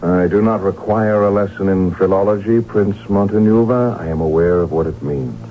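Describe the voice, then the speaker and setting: A man's voice: sits low, normal speed, slight background noise, natural voice. An actor playing a proud, desperate middle-aged prince in a radio drama, intense and formal in his delivery. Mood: curt. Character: proud, desperate, defiant middle-aged male Habsburg heir. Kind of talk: 1970s radio drama acting. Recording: tinny, humming old radio recording.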